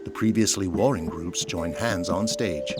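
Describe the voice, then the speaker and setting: A man's voice: sits low, unhurried. A man narrating an audio drama in a scripted, dramatic style. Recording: loud music behind the voice.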